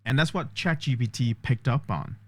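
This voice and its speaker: A man's voice: mid-range voice, moderate pace, a bit bright. A man vlogging a test of an AI voice assistant, explaining step by step and thinking aloud. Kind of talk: casual tech demo commentary.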